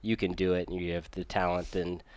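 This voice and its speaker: Low-voiced man telling racing stories. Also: flat delivery, brisk, a little mellow, no echo, low-quality recording. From Michigan, raised in Virginia. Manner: rambling, easygoing storytelling with fillers. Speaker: guest race car driver. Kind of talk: casual podcast interview.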